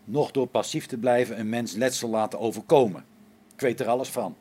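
A man playing a skeptical, wary engineer on a space station in a science fiction radio play, speaking in an informal, expressive way. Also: mid-range voice, a little fast, husky, natural voice.

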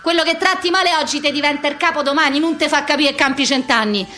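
High-voiced, frazzled Roman street woman. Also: quick pace, pushed and bright, slight background noise. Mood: agitated. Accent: Roman Italian. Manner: rambling, staccato lists of short phrases. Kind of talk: theatrical monologue in Roman slang.

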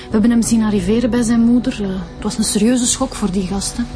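A woman's voice: on the high side, steady tone, fast talker, music in the background, natural voice. A woman playing a composed, considerate police investigator, businesslike, in a naturalistic radio drama.

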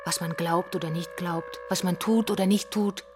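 A woman's voice: on the low side, steady tone, normal speed, music in the background, lightly acted. An actress playing a pupil's mother in a radio drama, seductive and menacing, brazen and wounded, with a provocative, insinuating delivery. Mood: teasing, insinuating. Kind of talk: stage-like radio drama dialogue.

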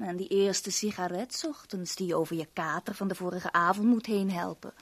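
A woman's voice: on the low side, slightly rough. An actress playing a teasing, seductive henchwoman in a 1970s radio drama, cooing endearments with mock tenderness.

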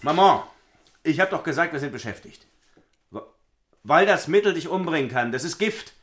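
An actor playing an exasperated, coarse, sarcastic middle-aged husband in a theatrical audio drama, blunt and sardonic in manner. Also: male voice, fairly high pitch, animated, moderate pace, fairly bright tone.

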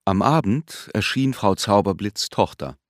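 An actor playing a sombre storyteller, giving measured, scripted literary narration in a radio play. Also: male voice, fairly low pitch, animated, no room sound, clean studio sound. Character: sombre storyteller narrating a town's strange decline.

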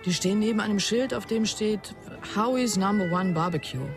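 With music clearly behind, a woman acting as a cool, enigmatic photographer speaks in a mid-pitched voice. Her talk is conversational radio-drama dialogue from a noir crime story. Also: moderate pace, natural voice. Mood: guarded, wistful. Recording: music under the voice.

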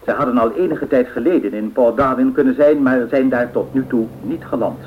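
An actor playing a radio newsreader who reads 1930s air race bulletins in a formal, measured way. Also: male voice, mid-range voice, a little hiss or hum, close to an everyday voice. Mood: formal, factual. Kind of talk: scripted radio news bulletin. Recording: narrow-band old radio recording.

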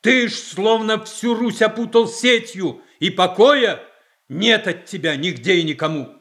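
A man's voice: high voice, a little slow, fairly bright tone. An actor playing an aggrieved, outspoken nobleman in a verse radio play. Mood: accusing. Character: aggrieved, outspoken noble nostalgic for old ways.

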